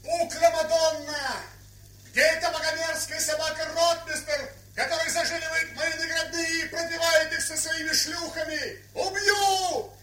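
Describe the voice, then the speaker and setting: An actor playing a hot-headed young soldier, aggrieved and blustering, bellowing indignantly in a theatrical drama style. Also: male voice, extremely high pitch, flat delivery, a little slow, sharp tone, heavily acted. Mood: enraged. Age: young adult. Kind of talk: theatrical stage drama acting. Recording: roomy 1970s radio-play recording.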